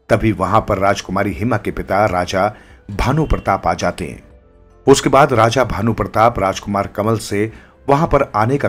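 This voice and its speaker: Man, storyteller, scripted tale narration, breathy husky tone, music underneath. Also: fairly low pitch.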